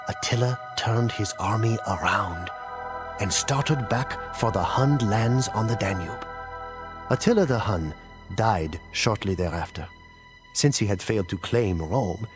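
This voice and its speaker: A man playing a grave storyteller in a game cutscene, narrating ancient wars in a solemn, theatrical, deliberate way. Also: on the low side. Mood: somber. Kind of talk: dramatic scripted storytelling narration.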